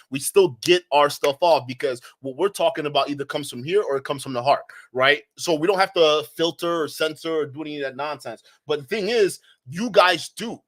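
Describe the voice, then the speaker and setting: A man's voice: fairly high pitch, a bit bright. A man from Nigeria with a slight Nigerian accent, hosting a live-stream commentary, talking to his chat in a mocking way, laughing often and restarting his sentences.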